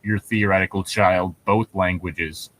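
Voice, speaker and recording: A man's voice: sits low, steady tone, unhurried, a bit bright, no echo, rough recording. Man in casual chat, dry close sound. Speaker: co-host.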